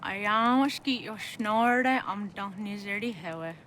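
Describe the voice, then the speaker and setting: A woman's voice: medium pitch, slow pace, fairly bright tone, slight background noise. An actress playing a village woman in a dramatic historical video game cutscene. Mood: intent.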